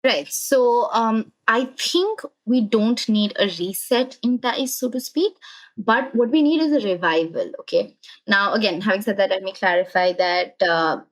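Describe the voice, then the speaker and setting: A woman's voice: medium pitch, a little slow, low-quality recording. A woman from India with an Indian accent, speaking as an analytical podcast guest and explaining things with frequent checking tags. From Calcutta, India. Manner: explanatory, often checks with "right?".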